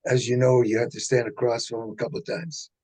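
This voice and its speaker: Husky, dark-voiced older man. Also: mid-range voice, level tone, fast talker. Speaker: senior martial arts teacher on a panel. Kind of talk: casual chat.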